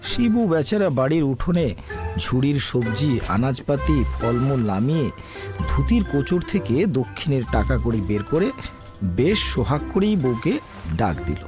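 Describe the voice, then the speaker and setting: A man narrating a village tale in an expressive storytelling manner. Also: medium pitch, normal speed. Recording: background music under narration.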